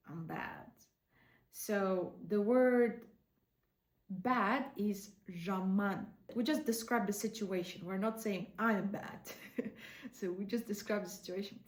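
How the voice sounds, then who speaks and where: A woman with a Kazakh accent gives an online language lesson at a slow, unhurried pace and repeats each word for practice. Her voice sits a little high. The room adds a noticeable echo. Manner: explains slowly, repeats words for practice. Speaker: language teacher.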